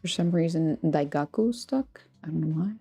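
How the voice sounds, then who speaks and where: A woman's voice: sits low, lively intonation, normal speed, mellow, slightly rough, no room sound. A woman from Texas with an American accent, chatting casually as a livestream host in a rambling, playful, self-deprecating way. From Texas, United States. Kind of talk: casual chat with viewers while crafting. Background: background music.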